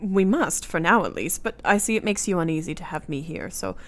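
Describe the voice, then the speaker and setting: A woman's voice: on the low side, animated, brisk. A woman playing a polite, composed, inquisitive young wife in a radio play.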